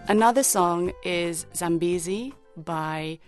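A woman with a British and Kenyan accent and a fairly low voice tells warm, reflective stories about songs. She speaks in a scripted radio segment. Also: takes it slowly, loud music behind the voice. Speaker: radio producer.

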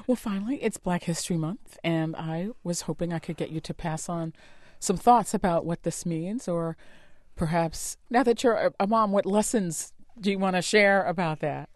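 A woman news interviewer asking measured, probing questions in a broadcast interview from a studio. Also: fairly low pitch, no echo.

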